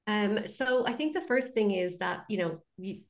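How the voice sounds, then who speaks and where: A woman's voice: mid-range voice, animated, normal speed. A woman speaking as a podcast guest, explaining her practice conversationally with everyday comparisons and examples.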